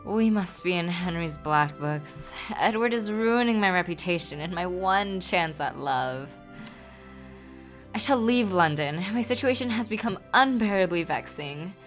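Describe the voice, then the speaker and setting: A woman's voice: on the low side, expressive, a little slow, faint music behind. A woman voicing an earnest, genteel young Regency lady with polite, formal period speech, for a game. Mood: downcast.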